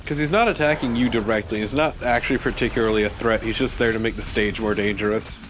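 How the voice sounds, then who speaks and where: A man's voice: lowish voice, fairly even intonation, quick pace, over music. A man giving casual co-commentary on a video game in a conversational way. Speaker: let's play co-commentator.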